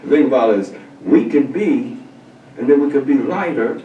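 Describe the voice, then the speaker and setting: A man hosting a talk show, speaking emphatically and a bit preachily, his pitch swinging widely as he makes his points. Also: animated, moderate pace, lo-fi sound, a little hiss or hum. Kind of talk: casual interview. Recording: roomy sound from a distant mic.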